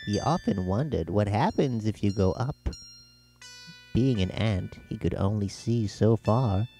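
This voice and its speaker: A man's voice: lowish voice, a little slow, dark tone. A man with a British accent, an author reading his own story as scripted narration in a children's-tale style. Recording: music behind the voice.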